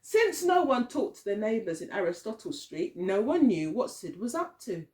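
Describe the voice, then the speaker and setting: A woman teacher reading a picture story aloud to young children, expressively, often pausing to put questions to them. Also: mid-range voice, big pitch swings, warm tone.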